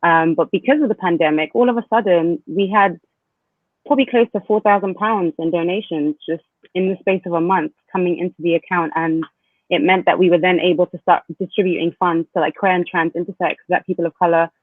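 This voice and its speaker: A man's voice: high voice, steady tone, brisk, no echo. A man hosting an online panel, talking casually with many fillers and restarts. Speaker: panel host. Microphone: video call. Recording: tinny phone-like line.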